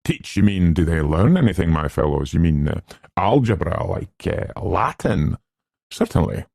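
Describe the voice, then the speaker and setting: A man's voice: low-pitched, very animated, moderate pace, vocal fry, a little mellow, slightly rough, no echo, clean studio sound, full character voice. A man voicing a smug, boastful young schoolmaster in a storybook, hearty and pleased with himself in clipped, slangy phrases. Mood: pleased, condescending.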